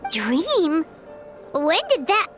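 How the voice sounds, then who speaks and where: A woman voicing a small, childlike fairy companion in a video game, with a squeaky, perky voice. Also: sing-song, very slow, a bit bright, full character voice. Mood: curious, surprised. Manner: speaks of herself in third person, perky. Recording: music behind the voice.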